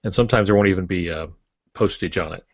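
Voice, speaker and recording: An older man with a fairly low voice talks casually as a podcast co-host, offering advice in a panel discussion. The recording is dry and a little dull. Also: fast, dry recording. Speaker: podcast co-host and writing teacher.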